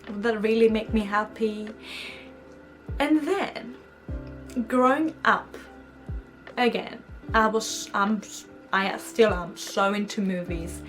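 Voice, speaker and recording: A woman's voice: fairly high pitch, poor audio. A young woman from Greece with a Greek accent, telling her story in a chatty, giggly vlog to camera. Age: young adult. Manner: chatty, giggly, animated quoting of herself. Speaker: vlogger. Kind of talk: casual storytime chat to camera.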